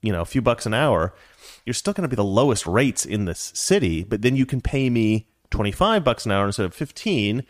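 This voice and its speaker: A man's voice: on the low side, sing-song, a little fast, dry recording, studio quality. A man hosting a casual commentary show, rambling and opinionated with a joking edge. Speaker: show host.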